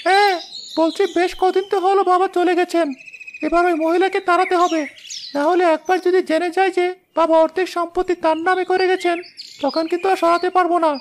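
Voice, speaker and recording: A woman's voice: extremely high pitch, flat delivery, brisk, slight background noise. A woman voicing a scheming, calculating stepson in a cartoon moral story. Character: scheming adult stepson of a widow.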